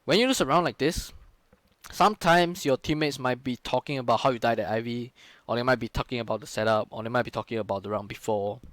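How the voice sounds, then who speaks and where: A man's voice: medium pitch, fast talker, a bit bright, dry recording. A young man, casually talking through his own game footage on a live stream, loose and full of fillers and curses. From Singapore. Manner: casual, frequent swearing and fillers.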